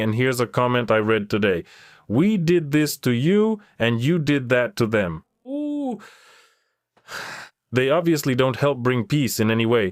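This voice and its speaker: Wry male political commentator, crisp voice. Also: mid-range voice, no echo, clean studio sound. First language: Ukrainian. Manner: wry, sarcastic asides. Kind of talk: commentary on video clips.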